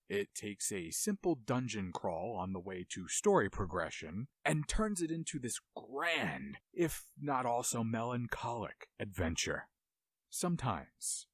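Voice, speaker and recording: Expressive man narrating, with lively pitch. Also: no echo, clean studio sound. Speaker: host of a video game music video essay. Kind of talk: scripted video essay narration. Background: music under the voice.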